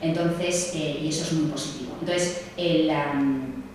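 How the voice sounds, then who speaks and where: Low-voiced woman in an echoey hall. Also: steady tone, unhurried, a little mellow, a little hiss or hum. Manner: hesitant, many fillers and tag questions. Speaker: sociologist speaking at a seminar. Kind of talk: seminar talk. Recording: echoey distant room mic, faint ambience.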